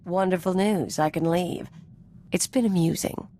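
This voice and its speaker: An actress voicing a tough, aloof woman mercenary in a video game, low-pitched, dry and sardonic. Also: unhurried, warm tone, lightly acted. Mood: sarcastic. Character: scornful, tough, aloof woman gun-for-hire.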